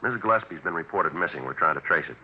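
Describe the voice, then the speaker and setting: An actor playing a businesslike, unflappable police detective sergeant in a 1950s radio procedural, terse and matter-of-fact. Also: male voice, low voice, animated, very fast. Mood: businesslike questioning. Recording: noisy, dull 1950s radio recording.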